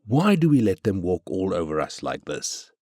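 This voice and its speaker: An arrogant business magnate with an extremely deep voice, recorded dry and close. He is brash, cynical and commanding, a callous corporate boss from a strategy game. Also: very animated, moderate pace, warm tone, no room sound, exaggerated voice. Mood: aggrieved, scornful. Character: ruthless, arrogant South African corporate tycoon. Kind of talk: scripted game character quotes.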